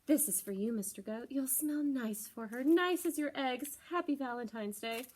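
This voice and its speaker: A woman's voice: sits high, moderate pace, a little mellow. A woman voicing a friendly, forthright female skunk in a children's storybook, curious and coaxing. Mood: frank, breezy. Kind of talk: children's storybook read-aloud.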